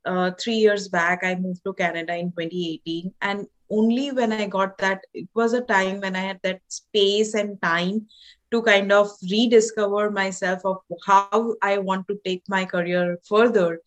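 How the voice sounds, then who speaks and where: A woman from India with an Indian accent, a holistic doctor and author in her thirties, answering interview questions with many pauses and restarts. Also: mid-range voice, fairly even intonation, lo-fi sound. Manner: hesitant, many fillers and restarts. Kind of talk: podcast interview guest.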